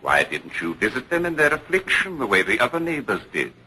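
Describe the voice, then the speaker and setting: An actor playing a stern, accusing prosecutor in an old-time radio drama, questioning formally and with command. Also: male voice, medium pitch, very animated, a little fast, bright, forceful tone. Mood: severe, demanding. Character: stern, accusing male prosecutor at witch trial. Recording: noisy vintage radio broadcast.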